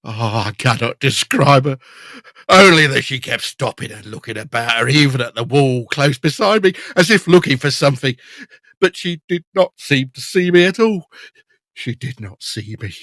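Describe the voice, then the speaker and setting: An actor playing a terrified landlord in a ghost story audio drama, stammering and repeating himself. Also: male voice, medium pitch, lively intonation, moderate pace, fairly bright tone, dry recording. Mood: shaken, fearful. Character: terrified, babbling male landlord witnessing a ghost.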